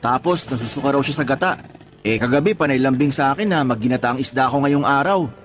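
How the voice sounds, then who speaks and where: An actor playing a doting, put-upon young tricycle driver in a Tagalog radio drama, pleading and exasperated. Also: male voice, medium pitch, quick pace, soft background music, lightly acted.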